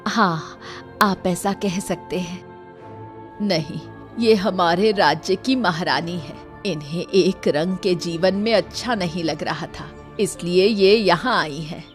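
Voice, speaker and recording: A woman playing a kindly old forest dweller in a cartoon moral story, gentle and reassuring. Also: fairly low pitch, slow, faint music behind. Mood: kindly, calm.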